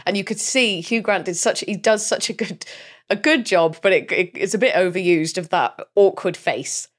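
A woman's voice: medium pitch, moderate pace. A woman from Wales co-hosting a podcast, chatting casually about a film and rambling through restarts. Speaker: podcast co-host.

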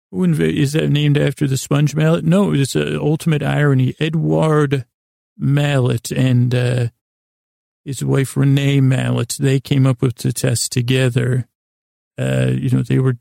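Very creaky, meandering male podcast host. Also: mid-range voice, warm tone, no room sound, studio quality. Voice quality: gravelly, creaky. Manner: meandering, halting, with long pauses and self-corrections; self-deprecating, silly. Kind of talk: rambling bedtime-story podcast monologue.

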